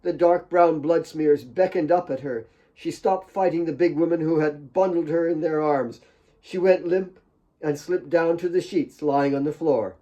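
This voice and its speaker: A man's voice: a little high, dark tone, lo-fi sound. A man reading his own novel aloud as a dramatic audiobook narration.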